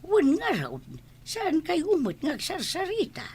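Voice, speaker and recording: A woman with an Ilocano accent playing a bossy, sharp-tongued village wife in a comedy radio drama. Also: extremely high pitch, wildly swooping pitch, a little slow, full character voice. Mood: gossipy, grumbling.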